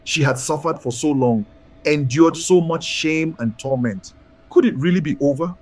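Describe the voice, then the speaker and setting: A man narrating a scripted folktale as a storyteller, in a solemn, deliberate and dramatic way. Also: on the high side, a little mellow.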